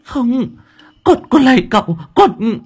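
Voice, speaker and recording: A man's voice: very high voice, big pitch swings, slow pace, darkish tone, slightly rough, faint music behind, pitched above the performer's own voice, heavily acted. A man voicing a poor elderly peasant woman who stammers and begs tearfully. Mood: desperate.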